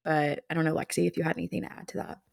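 Low-voiced woman in her twenties. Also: rapid-fire, creaky, no room sound, clean studio sound. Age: 20s. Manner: relaxed, reflective, laughs often. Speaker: podcast co-host. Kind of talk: casual chat.

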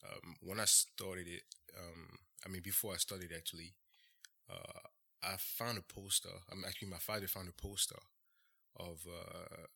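A young man with a French accent, speaking in a very deep, gravelly voice with a bright, forceful edge. He talks in a flat, even tone and pauses often on "uh" as he tells his story. This is casual interview talk from a podcast guest. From France. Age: young adult. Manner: measured, pauses with frequent "uh" and "um".

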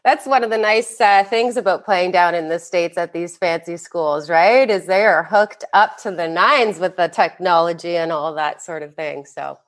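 A woman's voice: lowish voice, moderate pace, hardly any pauses. A woman from Canada co-hosting a podcast as its mindset coach, bantering in a playful, upbeat way. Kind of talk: casual banter.

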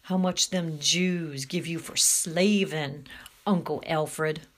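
A woman's voice: low voice, steady tone, slow pace, fairly bright tone, full character voice. A woman voicing a muscular, swaggering teenage male bully with a taunting, jeering manner in a storybook reading. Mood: sneering.

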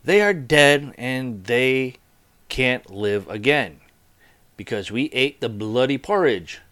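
A man voicing an evil, gloating walking corpse that gives slow, theatrical commands in a spooky folk tale. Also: mid-range voice, expressive, bright, forceful tone. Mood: commanding, menacing.

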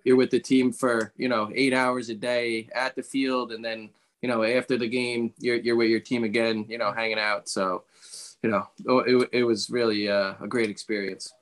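Man, very even intonation, medium pitch, casual reminiscing, frequent verbal tags. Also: moderate pace. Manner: repeats "you know" often. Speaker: podcast guest, former professional outfielder.

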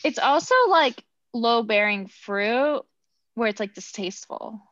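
A woman's voice: fairly high pitch, unhurried, a bit bright, no echo, lo-fi sound. Rambling, chatty woman podcast host. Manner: chatty, rambling, lots of fillers. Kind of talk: casual movie review chat.

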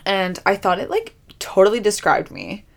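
A woman's voice: mid-range voice, huge pitch swings, normal speed, vocal fry. A young woman co-hosting a podcast in casual chat, talking loosely with lots of "like" fillers. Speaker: podcast co-host.

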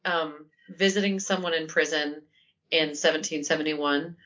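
Brief: woman podcast co-host, low, commanding, bright tone, chatty, slightly lo-fi. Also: steady tone, moderate pace. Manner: joking, chatty, says "like" often. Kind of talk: casual podcast chat, telling a history story.

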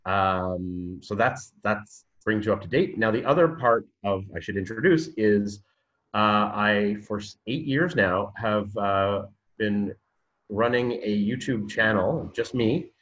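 A Canadian man with a lowish voice talks through a podcast interview. He hesitates and restarts often, then warms into lively storytelling. The audio sounds a little dull and muffled. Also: takes it slowly. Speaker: guest, university programme director.

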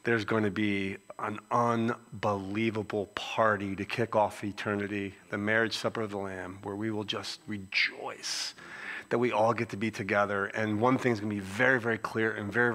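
A man's voice: lowish voice, flat delivery, normal speed, a bit bright. A middle-aged man from Philadelphia with a Philadelphia accent, a pastor giving a conversational sermon introduction with frequent restarts. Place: church sanctuary.

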